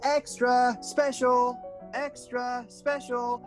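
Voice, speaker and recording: A street newspaper seller, voiced by an actress in a radio drama. The calls are high, monotone and drawn out, crying the extra edition with music clearly behind. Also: female voice, very slow. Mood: hawking. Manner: repetitive crying out, sing-out calls. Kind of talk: radio play acting.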